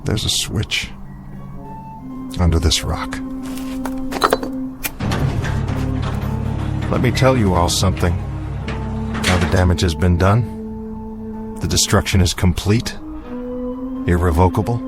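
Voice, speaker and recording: An actor playing an earnest male survivor who pleads with a crowd and speaks gravely in a radio drama. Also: low-pitched, unhurried, long pauses. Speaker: keeper of the cave's secret. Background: music score.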